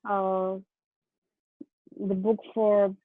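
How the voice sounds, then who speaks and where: A woman answers in halting English, very slowly, stopping often to search for words. Her voice comes through a thin, phone-like line with no room sound at all. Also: mid-range voice, flat delivery, no room sound. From Russia. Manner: hesitant, many fillers. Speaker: student. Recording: dry, phone-like call audio.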